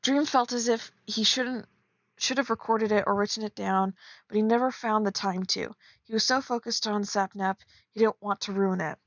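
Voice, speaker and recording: A woman's voice: mid-range voice, fairly even intonation, moderate pace, no echo, lo-fi sound. A woman chatting casually on a stream as she reads aloud and critiques, teasing and blunt with sarcastic asides. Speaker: streamer reading and critiquing fan fiction. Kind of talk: casual live commentary mixed with reading aloud.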